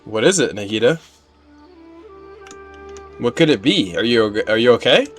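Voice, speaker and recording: A man's voice: mid-range voice, very animated, measured pace, soft background music. A man giving casual gameplay commentary as a streamer, slangy and emphatic, often repeating himself for weight. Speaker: game streamer.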